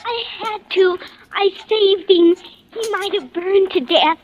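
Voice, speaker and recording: A woman's voice: extremely high pitch, slow pace, slight background noise. A woman playing a pleading, dreamy little girl with a childlike, plaintive delivery in an old-time radio drama. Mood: earnest. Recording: dull old radio recording, music behind.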